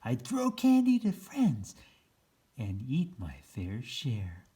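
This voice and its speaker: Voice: medium pitch, wildly swooping pitch, slow pace, dark tone. Çok değişken tonlamalı, ağır tempolu çocuk kitabı okuyucusu. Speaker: children's story reader. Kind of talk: children's picture book read-aloud.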